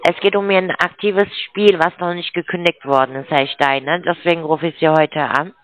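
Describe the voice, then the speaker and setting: A woman's voice: on the low side, normal speed. A woman making a pushy scam sales call over the phone, growing rude and insulting. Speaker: scam caller. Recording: dull phone line.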